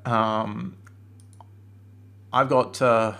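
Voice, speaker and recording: A man's voice: mid-range voice, flat delivery, extremely slow, gravelly, sharp tone. A man with a cold and a strained voice giving a casual chart-analysis webinar, hesitating often with fillers and restarts. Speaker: forex trading analyst.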